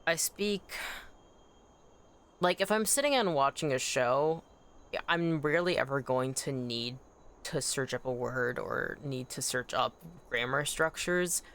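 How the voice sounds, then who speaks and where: A game streamer with a clear, smooth voice and Anishinaabemowin as a first language chats casually to viewers. The delivery is animated and excitable, wandering through thoughts with frequent self-corrections. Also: mid-range voice, unhurried. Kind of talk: casual livestream chat while gaming.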